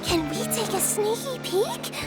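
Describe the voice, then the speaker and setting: Bubbly, high-pitched young woman with a bouncing voice, game cutscene with reverb and music. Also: measured pace, heavily acted. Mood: cheerful. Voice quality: high, lively, eager. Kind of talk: game dialogue.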